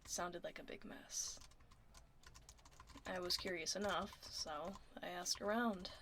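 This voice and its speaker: A woman playing a laid-back tomboy werewolf office admin in a soft, casual, wry ASMR roleplay. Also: on the low side, steady tone, a little slow. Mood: confiding, wry. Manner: casual, reassuring, wry, murmuring. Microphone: close binaural ASMR microphone. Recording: close binaural mic, faint background noise.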